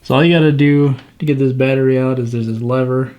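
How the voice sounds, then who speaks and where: Male DIY video host, average pitch, informal think-aloud delivery, faint noise behind the voice. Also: fairly even intonation, fast, muffled recording. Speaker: watch restoration video host. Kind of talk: casual hands-on commentary while working.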